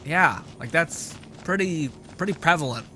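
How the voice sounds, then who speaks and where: Higher-voiced young male game streamer. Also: lively intonation, unhurried, pushed and bright. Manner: informal, sweary, joking. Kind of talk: casual live gameplay commentary, chat with viewers. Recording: music playing behind voice. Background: background music.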